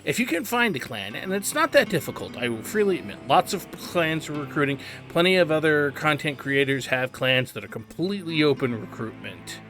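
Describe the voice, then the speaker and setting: A man's voice: fairly high pitch, sing-song, brisk, few pauses, a bit bright. A man hosting a video game tutorial in a conversational, explanatory way, stressing key words for emphasis. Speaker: game guide host. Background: background music.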